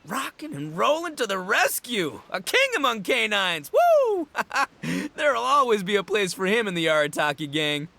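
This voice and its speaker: A man's voice: high voice, huge pitch swings, moderate pace, gravelly, sharp tone, full character voice. A man voicing a brash, boastful young gang boss and rocker in a video game, with cocky, boisterous, slangy delivery. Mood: excited, amused. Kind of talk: animated video game voice acting.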